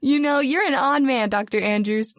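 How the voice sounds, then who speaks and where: An actress playing a chatty, teasing and forthright young hospital receptionist in an old-time radio drama. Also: female voice, high voice, normal speed, no room sound, studio quality, lightly acted. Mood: prodding. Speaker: voice actor.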